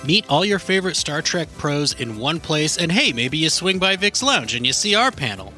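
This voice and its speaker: A man's voice: fairly high pitch, big pitch swings, moderate pace, sharp tone, faint music behind. A man reading an upbeat convention promo in a promotional tone. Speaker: ad reader.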